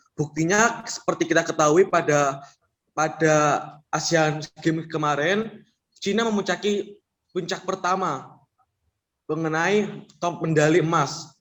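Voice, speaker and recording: A man's voice: a little high, a bit bright. A young man, a student, asking a question in a webinar, hesitant with restarts.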